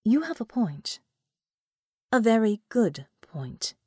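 A woman voicing a calculating female operative in an audiobook, speaking in a formal, measured and indifferent manner. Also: a little high, very animated, dark tone, breathy edge, no echo, studio quality, full character voice. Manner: formal, measured, delicate phrasing.

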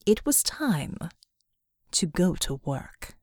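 A woman reading scripted fiction as an audiobook narrator, measured and dramatic in her storytelling. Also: on the low side, very animated.

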